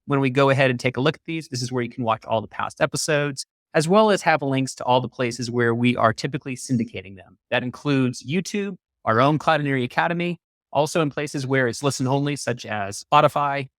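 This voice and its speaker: A man's voice: mid-range voice, a little fast, fairly bright tone, dry recording, clean studio sound. A man hosting an interview podcast, talking in a wordy, enthusiastic way. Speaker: podcast host. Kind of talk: upbeat hosted interview talk.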